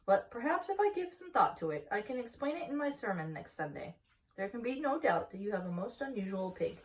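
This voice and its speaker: A solemn male country minister, acted by a woman reader in a children's storybook. He deliberates gravely in measured preacherly phrases, pitched high and slightly distant from the mic. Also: sing-song, natural voice.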